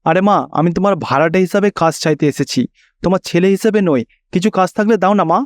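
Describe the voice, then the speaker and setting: A man's voice: sits high, fast, no room sound, close to an everyday voice. Man acting a pleading, worried young husband, dry sound. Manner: earnest, pleading. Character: worried, pleading, dutiful young married son.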